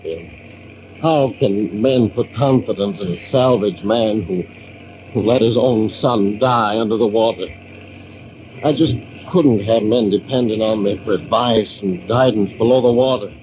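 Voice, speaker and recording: An older man playing a brooding, gentle old seaman and grieving father, halting and repeating himself in old-time radio drama style. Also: medium pitch, close to an everyday voice. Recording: noisy old radio recording.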